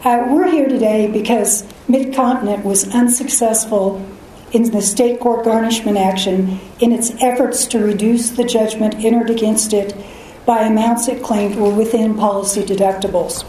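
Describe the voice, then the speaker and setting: A soft-voiced female attorney presenting a measured, methodical oral argument before an appeals court. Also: fairly high pitch, steady tone. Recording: distant, very echoey courtroom audio, faint noise.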